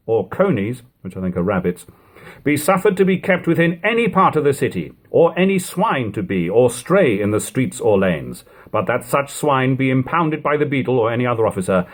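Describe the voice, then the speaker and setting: A man reading an old book aloud in a measured, formal manner, in a lo-fi home recording. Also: medium pitch. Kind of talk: audiobook reading of an old text with brief informal asides.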